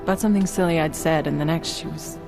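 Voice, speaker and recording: A woman plays a soft-spoken therapist with a wry edge, shaken but resilient. Her voice sits fairly low in a video game cutscene, with loud music behind it. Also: fast talker, natural voice, rough recording. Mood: haunted.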